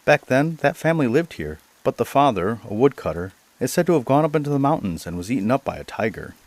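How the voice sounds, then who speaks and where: A man plays a dignified gentleman from a storybook, astonished and emphatic. The voice is lifted well above a deep natural register. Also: sing-song, fast, dry recording.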